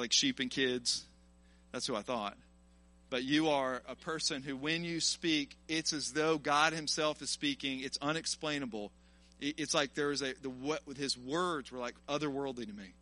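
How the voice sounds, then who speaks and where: A man in his early forties preaching an informal sermon in an animated, colloquial, exhorting way. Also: mid-range voice. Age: early 40s. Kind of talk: informal sermon to a live congregation, with personal testimony.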